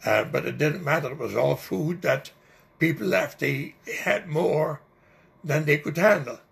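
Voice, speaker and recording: An older man with a heavily gravelly, creaky voice tells a long memory in a relaxed, rambling way, full of small fillers. He speaks English as a second language. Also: on the high side, unhurried. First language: not English. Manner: rambling, vivid recollection with fillers. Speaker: interviewee. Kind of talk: oral history storytelling.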